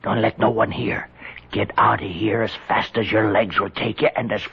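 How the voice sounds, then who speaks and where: An actor playing a folksy small-town sheriff with an American accent and a gravelly voice, in a radio drama. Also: male voice, fairly low pitch, flat delivery, normal speed, exaggerated voice. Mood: urgent, hushed. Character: folksy, slow-talking small-town sheriff keeping the peace. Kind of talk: 1970s radio drama acting. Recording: dull, noisy 1970s radio recording.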